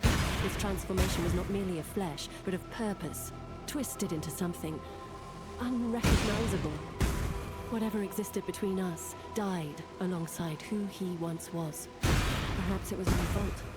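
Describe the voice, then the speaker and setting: An actress playing a weary, regretful woman in a video game, narrating in a solemn, measured, reflective way. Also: on the low side, close to an everyday voice. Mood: mournful, regretful. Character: weary, regretful woman, solemn fantasy mapmaker recalling loss. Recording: loud cinematic music behind the voice.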